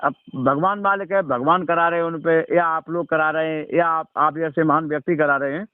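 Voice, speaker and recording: A man with a fairly high voice talks informally over a dry, narrow phone line. He explains in a didactic way and keeps checking that the listener agrees. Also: steady tone, fairly quick, clean tone, no echo. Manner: didactic, repeatedly seeking agreement. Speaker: religious storyteller. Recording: narrow-band phone call recording.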